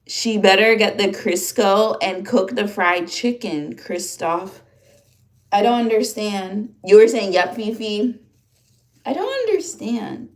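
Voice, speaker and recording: A woman in her thirties hosts a livestream, chatting loosely with her viewers and drifting between thoughts with plenty of fillers and restarts. Her voice sits at a middle pitch and rings off the walls of an echoey room at home. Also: expressive, slow. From upstate New York, USA. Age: 30s. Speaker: livestream host. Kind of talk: casual livestream chat reading comments.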